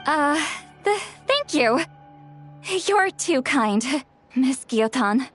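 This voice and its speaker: An actress playing a proud, easily flustered young woman in a video game, expressive and quick to sound embarrassed. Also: high-pitched, soft background music, exaggerated voice. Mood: flustered, pleased. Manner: expressive, indignant when embarrassed.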